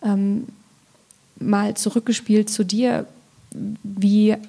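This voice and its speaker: A woman from Thuringia in Germany speaking as a poet in a literary discussion, thoughtful and halting as she searches for her words. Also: mid-range voice, little pitch movement, mellow.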